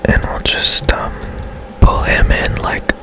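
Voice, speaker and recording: A man whispering softly and casually as he gives rambling, unhurried commentary on a video game for ASMR. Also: over music. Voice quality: soft unvoiced whisper. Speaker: game streamer.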